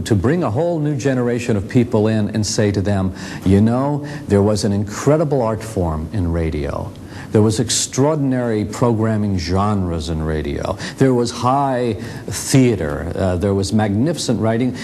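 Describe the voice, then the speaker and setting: An American man explaining things in an interview as a museum representative, emphatic and halting, repeating phrases for weight. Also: dark tone. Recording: faint rumbling background noise.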